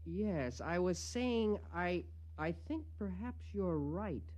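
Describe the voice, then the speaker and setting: A man's voice: high voice, animated, takes it slowly, a little mellow, lo-fi sound, heavily acted. An actor playing an irritable, obsessive man in therapy, in a 1950s radio drama. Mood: subdued, agreeable. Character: irritable, obsessive male would-be killer in therapy.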